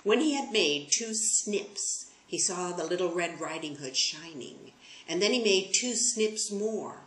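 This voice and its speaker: A woman with a fairly low voice narrates a storybook tale. The sound is roomy, with clear echo around her. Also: lively intonation, unhurried, fairly bright tone.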